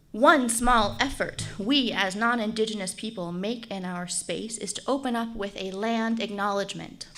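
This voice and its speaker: Earnest woman moderating a panel. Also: mid-range voice. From United States. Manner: measured, earnest delivery, switching to French. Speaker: panel facilitator. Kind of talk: reading a land acknowledgement, then moderating.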